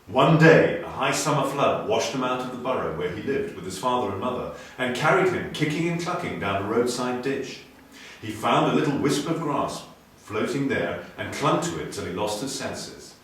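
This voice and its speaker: A man reading a children's story aloud with theatrical expression and clear enunciation. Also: mid-range voice, animated, normal speed, fairly bright tone. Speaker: storybook reader. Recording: very echoey room, distant mic.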